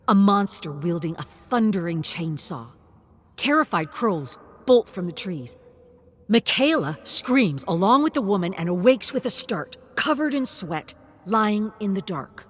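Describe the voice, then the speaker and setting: A woman reading a scripted story in a dramatic, deliberate way, at times dropping to a hushed tone. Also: mid-range voice, expressive. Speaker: narrator.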